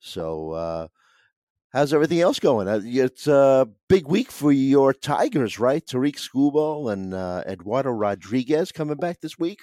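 A man with a hoarse, raspy voice, hosting a casual sports podcast in a laid-back way with a lot of filler words. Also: medium pitch, animated, unhurried, dark tone, no room sound, studio quality. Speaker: podcast host.

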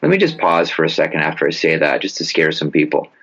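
Man, bass-leaning voice, emphatic sports chat, dramatic repeats, dull recording. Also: sing-song, fast, creaky, a bit bright, breathy edge. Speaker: guest hockey commentator. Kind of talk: casual sports talk. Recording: dull lo-fi sound.

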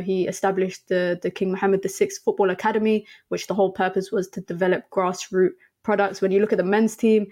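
A woman's voice: mid-range voice, mellow. A young adult woman talking football as a podcast guest, chatty with frequent ums and restarts. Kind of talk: casual football chat.

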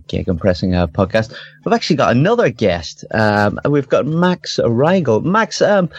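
A man's voice: medium pitch, darkish tone, no room sound. A man hosting a football podcast in casual chat, hesitant with many ums and restarts. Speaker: podcast host. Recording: dull lo-fi podcast audio.

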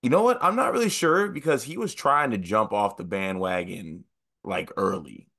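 A man's voice: lowish voice, lively intonation, normal speed, pushed and bright. A man co-hosting a casual sports podcast, stuffed up with the sniffles, sounding nasal. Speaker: podcast co-host.

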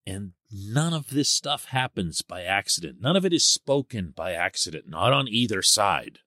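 A man hosting a sports podcast as a columnist, giving solo commentary in an animated, emphatic way. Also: fairly low pitch, normal speed, no room sound, clean studio sound. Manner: animated and emphatic, stresses key words.

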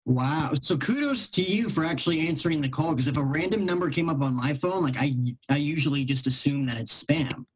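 A man's voice: fairly high pitch, lively intonation, fairly quick, hardly any pauses, breathy edge, rough recording. A man hosting an interview in a casual, conversational way, with informal, rambling questions. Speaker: interview host.

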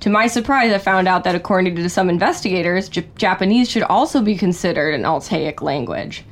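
A woman with a fairly low voice reads from an article in a relaxed, chatty way. She keeps stopping to wave the material off with a shrug. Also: brisk. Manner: reads aloud then shrugs it off with a dismissive "whatever". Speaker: podcast co-host. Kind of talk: casual chat, reading from articles.

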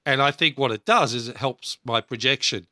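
Bright-voiced man, podcast host. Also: moderate pace, no echo, clean studio sound. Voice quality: baritone. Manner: animated storyteller, acts out quotes. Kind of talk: casual podcast chat.

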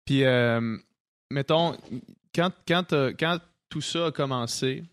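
A man with a Québécois French accent hosts a relaxed interview, winding through long questions in loose slang. His voice is of ordinary pitch and sounds close and dry, with no room around it. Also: takes it slowly, no echo. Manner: easygoing, slangy, asks long rambling questions. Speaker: podcast host. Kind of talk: casual interview chat.

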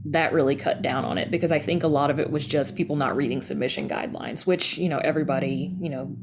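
A woman's voice: sits low, fairly even intonation, fast, creaky, a crowd in the background. A woman giving casual, candid and emphatic answers as an interview guest on a podcast.

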